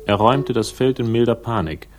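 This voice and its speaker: A man's voice: medium pitch, fairly quick, natural voice. A man acting in a 1960s German radio crime drama as a wry, laconic investigator, narrating and talking with dry irony. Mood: wry, reflective. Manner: dry, ironic, literary phrasing. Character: wry, laconic male investigator narrating his own case. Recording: vintage radio drama, music behind.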